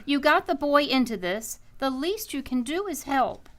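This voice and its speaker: A woman's voice: high voice, lively intonation, normal speed, a bit bright. An actress playing an exasperated, sensible wife and mother in a 1940s radio sitcom, scolding and reproachful.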